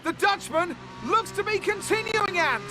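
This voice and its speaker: A man performing as an excited lead motor race commentator in a video game. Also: very high-pitched, unhurried, exaggerated voice.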